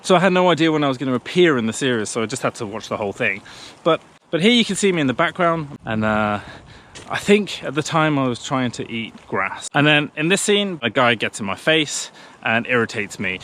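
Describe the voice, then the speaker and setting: A man's voice: medium pitch, big pitch swings, fairly quick, fairly bright tone. A man in his thirties talking casually to a camera clipped on a pushchair as he walks along a country path, chatty and self-deprecating. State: out of breath pushing uphill. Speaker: vlogger. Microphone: camera on a pushchair, walking.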